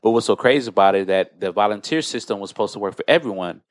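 An American man with a low voice talks as a podcast host. He explains and recounts in a conversational style, and the recording is dry and close. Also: quick pace, no room sound. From United States.

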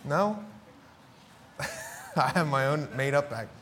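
A middle-aged man with a Philadelphia accent preaching a sermon in a fairly high voice. He swings from forceful declarations down to near whispers. He acts out both sides of conversations when he tells stories. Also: expressive, slow. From Philadelphia inner city. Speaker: pastor.

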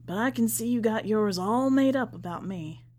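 A woman's voice: a little high, normal speed, a little mellow, close to an everyday voice. A woman voicing a pious, folksy bearded male preacher in a prison story, evasive and sanctimonious. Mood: defensive, pleading.

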